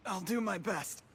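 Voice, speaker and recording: A man's voice: high-pitched, noisy background, full character voice. An actor playing a meek, eager-to-please young man in a video game, apologetic and strained.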